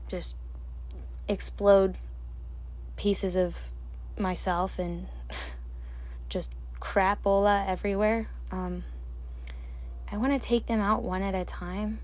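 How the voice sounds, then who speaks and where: A woman hosting a solo podcast alone at night, talking slowly and calmly into a microphone in a soft, soothing voice with quiet laughs. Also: medium pitch, poor audio. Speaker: podcast host. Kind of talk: solo reflective podcast monologue. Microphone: handheld or desk microphone, alone at night.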